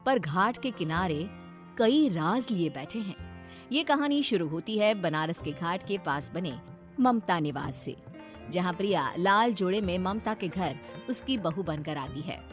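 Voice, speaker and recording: A woman's voice: lowish voice, expressive, unhurried, faint music behind. A woman narrating a scripted story dramatically as a storyteller. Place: voice-over recording with background music.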